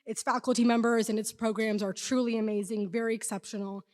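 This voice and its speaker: A woman's voice: a little high, level tone, quick pace, a little mellow. A young woman giving an earnest, emphatic prepared speech as a student speaker at a university event. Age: young adult. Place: large indoor hall, speaking to an audience. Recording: echoey hall with long reverb.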